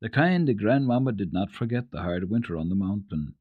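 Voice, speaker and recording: A man reading classic children's literature aloud as a dramatic radio storyteller, with a deliberate, expressive delivery. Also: lowish voice, husky, no room sound, phone-quality sound.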